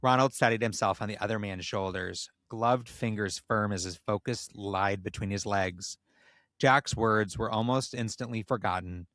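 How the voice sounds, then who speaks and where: A man with a fairly low voice reads a steamy comic story aloud as a podcast host. His narration is expressive and the recording is dry and close, with little room sound. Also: moderate pace, dry recording. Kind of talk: comedic reading of erotic fan fiction.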